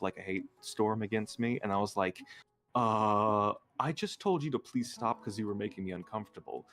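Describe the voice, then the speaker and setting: A man with a fairly low voice talks informally as a guest on a livestream. He speaks hesitantly, breaking his thoughts into short pieces, with faint music playing behind him. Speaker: guest content creator. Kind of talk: casual livestream chat, personal anecdotes.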